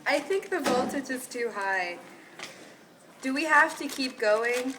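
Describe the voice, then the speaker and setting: A woman voicing an earnest experiment participant who administers shocks in an amateur puppet show, in a measured scripted delivery. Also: high voice, animated, sharp tone, distant mic, pitched above the performer's own voice, heavily acted, poor audio. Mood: concerned. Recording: clear background noise.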